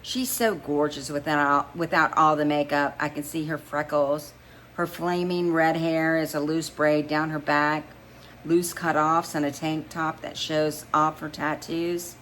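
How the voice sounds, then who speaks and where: A woman's voice: low voice, little pitch movement, a little slow, fairly bright tone, slight background noise, rough recording. A woman narrating a first-person story as an amateur reader, stumbling now and then and rereading phrases.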